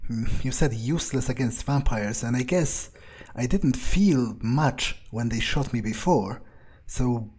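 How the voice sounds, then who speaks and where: A man's voice: medium pitch, a little slow, few pauses, a little mellow, husky. A man voicing a cocky, bloodthirsty newly turned vampire, thinking aloud in a profane, musing way during a video game roleplay. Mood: cocky, curious. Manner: musing, arrogant, profane, hesitant pondering. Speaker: player character in a roleplay playthrough. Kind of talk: in-character video game playthrough commentary.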